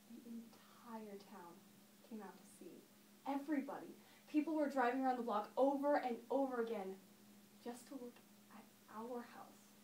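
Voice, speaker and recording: A woman's voice: on the high side, a little mellow, close to an everyday voice. Echoey, emphatic woman acting a wounded monologue. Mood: nostalgic, fond. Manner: emphatic, storytelling with deliberate pauses and swelling stress. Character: Daphne, upset woman recalling a childhood Christmas with her father. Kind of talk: dramatic monologue performance. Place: echoey indoor room, recorded from a distance. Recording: echoey room, distant mic, noisy.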